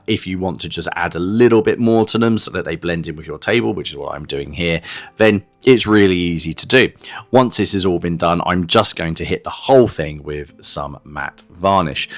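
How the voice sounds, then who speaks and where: A man hosting a hobby channel, talking viewers through a casual hands-on review in an informal, explanatory way. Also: on the low side. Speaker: hobby channel host reviewing tabletop terrain.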